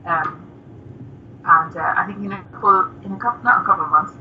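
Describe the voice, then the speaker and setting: A young woman from Nigeria, a musician guest, chatting softly and giggling with lots of fillers. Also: on the low side, fairly quick. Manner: soft-spoken, giggly, with many fillers. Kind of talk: casual interview chat. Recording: distant, dull lo-fi remote call with faint noise.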